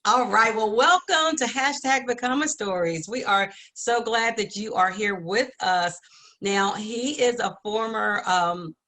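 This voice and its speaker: A woman's voice: on the high side, a little slow, bright, forceful tone. A woman hosting an interview show in an effusive, affirming and encouraging way.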